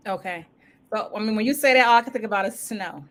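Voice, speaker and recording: A woman from Ohio who hosts a casual interview show and talks in an upbeat, lively, enthusiastic way. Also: medium pitch, quick pace. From Cincinnati, Ohio, USA. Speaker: show host.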